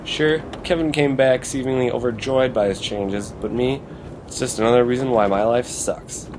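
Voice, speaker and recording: A man's voice: medium pitch, steady tone, normal speed, a little mellow, a little hiss or hum, close to an everyday voice. A man playing a gloomy young man who narrates his own story in a motion comic. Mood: bitter, resigned. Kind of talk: scripted first-person motion comic narration.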